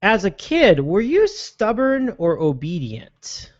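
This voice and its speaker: A man hosting a show, reacting warmly and upbeat, often halting and restarting his sentences. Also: high-pitched, huge pitch swings, no room sound. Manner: upbeat, affirming, halting with fillers. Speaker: host. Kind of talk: casual chat. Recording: dull, dry lo-fi sound.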